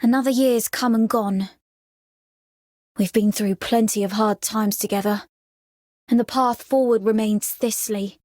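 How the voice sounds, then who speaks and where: Woman playing a gentle but resolute game heroine, mellow voice, slow and earnest, dry sound. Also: on the high side, fairly even intonation, no echo, lightly acted. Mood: hopeful, resolute. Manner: measured, earnest. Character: resolute, gentle female leader full of hope. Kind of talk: scripted game character voice line.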